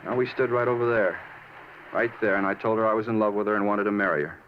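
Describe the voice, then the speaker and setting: An actor in a 1950s radio drama playing a moody, self-justifying murder suspect who rambles insistently and casually. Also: male voice, lowish voice, fairly even intonation, fast talker, close to an everyday voice. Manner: rambling, insistent, casual about his crime. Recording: muffled 1950s radio, faint noise.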